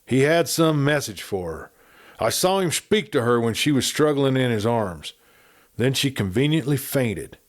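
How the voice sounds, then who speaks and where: A man voicing a resentful, suspicious outlaw who makes sharp accusations. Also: medium pitch, big pitch swings, normal speed, voice pushed up, lightly acted. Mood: accusing.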